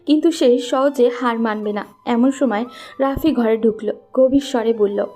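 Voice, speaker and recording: A woman's voice: on the high side, moderate pace, mellow. A woman narrating a scripted romantic story.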